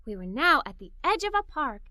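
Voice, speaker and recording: A woman reading a children's story in an expressive, scripted narrating style. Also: high-pitched, fairly quick, dry recording, clean studio sound. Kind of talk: scripted read-aloud story narration for kids.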